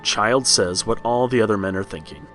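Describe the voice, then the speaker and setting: A man with a low voice reads a scripted horror read-along story as its narrator. Music plays lightly behind him. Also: normal speed, a bit bright.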